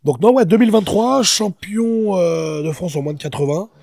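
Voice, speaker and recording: A man's voice: sits high, normal speed, vocal fry, mellow, no echo. A man hosting a podcast, teasing his co-host and guest with constant jokes and laughter, speaking into a studio mic. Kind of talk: joking casual podcast chat. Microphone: studio podcast microphone.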